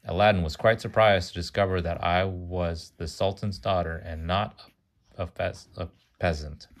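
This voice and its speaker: A man's voice: low voice, steady tone, normal speed. A man reading a children's storybook aloud to a child, stumbling over words now and then, correcting himself and adding chatty asides.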